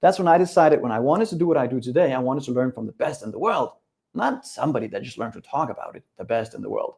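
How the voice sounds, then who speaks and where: A man's voice: mid-range voice, lively intonation, fast, gravelly, a little mellow. A middle-aged man from Denmark with a Danish accent, giving an upbeat, direct live webinar talk that addresses his viewers and their chat. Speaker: coach.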